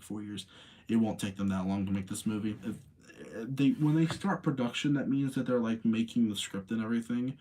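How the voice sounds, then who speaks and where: Husky, mellow man chatting on a livestream. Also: fairly low pitch. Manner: informal, rambling, slangy. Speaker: livestream host. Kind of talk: casual livestream chat and commentary.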